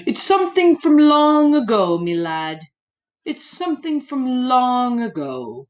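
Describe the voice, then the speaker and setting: A kindly elderly storybook neighbour, acted out by a woman, answers a child tenderly. The delivery is slow and widely swooping in pitch. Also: sing-song, highs cut off, exaggerated voice. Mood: wistful.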